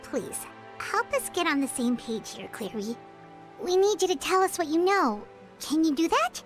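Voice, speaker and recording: A woman's voice: very high voice, very animated, moderate pace, soft background music. A woman voicing a tiny childlike fairy companion in a video game, chatty, earnest and curious. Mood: earnest, doubtful. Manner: speaks of herself in third person. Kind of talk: scripted video game voice acting.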